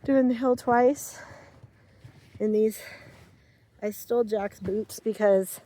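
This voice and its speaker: A woman's voice: a little high, slow pace, warm tone. A woman telling a rambling, chatty story outdoors in the snow, a little short of breath from the cold. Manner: rambling, chatty, with many fillers and quoted asides. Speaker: vlogger. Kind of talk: casual outdoor vlog storytelling. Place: outdoors on a snowy rural property.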